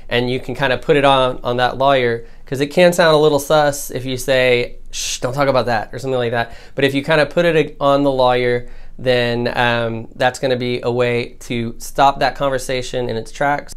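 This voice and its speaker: A man's voice: mid-range voice, smooth. An American man, a defense lawyer, presenting an informal legal explainer in a plain-spoken, colloquial, instructive way.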